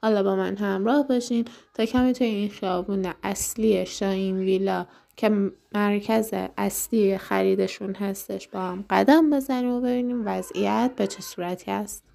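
A woman narrates a walking tour as the host of a vlog. Her voice is dark and soft-edged, with a good deal of creak in it. It sits at an average pitch for a woman. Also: steady tone.

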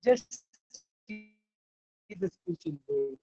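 A man, reading verses aloud and then explaining them with animated emphasis in a scripture class held over a video call. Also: high-pitched, slow pace. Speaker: class speaker. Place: indoor room at home. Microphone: video call, laptop or phone camera. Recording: dull lo-fi video call audio.

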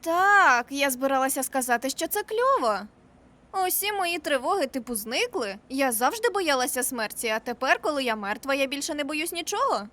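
Woman doing a chill skeleton girl from a cartoon, high bright voice, slangy. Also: big pitch swings, normal speed, exaggerated voice. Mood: delighted relief. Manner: laid-back slangy wording. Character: casual female shopper turned happy carefree skeleton. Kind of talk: animated comedy fan dub.

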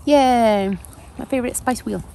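A woman's voice: on the high side, a little slow, vocal fry, warm tone, slight background noise. A young woman casually talking viewers through cooking a camp meal outdoors, step by step, with her camera a short way off. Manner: chatty, step-by-step narration. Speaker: vlogger. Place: campsite outdoors by a fire.

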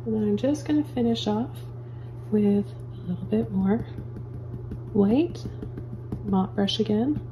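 A woman calmly talking viewers through painting step by step, as a painting teacher in her studio. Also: mid-range voice, slow, pauses often, dull audio, slight background noise. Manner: calm, gentle step-by-step instructions. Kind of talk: real-time painting tutorial. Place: indoor studio at an easel.

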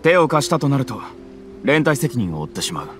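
Brief: a stern game officer, formal and commanding, lively intonation, game music under him. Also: male voice, mid-range voice, brisk. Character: stern male government commission officer. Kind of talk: game cutscene voice acting. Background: soft game music.

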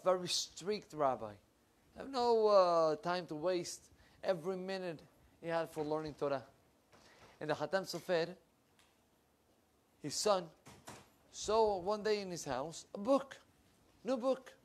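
A young man, a rabbi giving an animated Torah class, his voice swinging between loud bursts and low asides. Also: measured pace. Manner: animated, with big swings in pitch and loudness and lively hand gestures. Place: wood-panelled room with bookshelves. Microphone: lapel.

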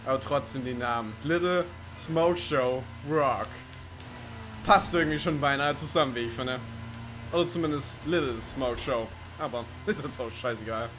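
A man's voice: mid-range voice, normal speed, music in the background. A man giving casual, rambling, sweary let's play commentary over racing game sounds. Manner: rambling, sweary, joking to himself. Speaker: gaming video commentator.

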